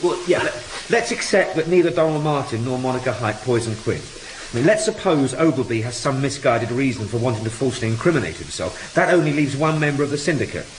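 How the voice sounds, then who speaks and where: A man's voice: medium pitch, expressive, fast, natural voice. A male actor playing a deferential but persistent police sergeant in a radio drama, arguing politely and a little hesitantly. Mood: puzzled, persistent. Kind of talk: naturalistic radio drama acting. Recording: clearly noisy ambience behind the voice.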